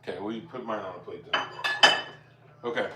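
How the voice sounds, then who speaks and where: A man's voice: lowish voice, steady tone, a little fast. A man hosting a live cooking stream, chatting informally and thinking aloud as he talks to viewers. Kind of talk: casual chat with viewers while cooking. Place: home kitchen. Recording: clear background noise, clattering dishes and cutlery.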